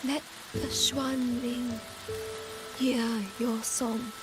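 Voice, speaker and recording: A very slow, tender young woman. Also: on the high side, little pitch movement, over music, rough recording. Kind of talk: video game voice acting.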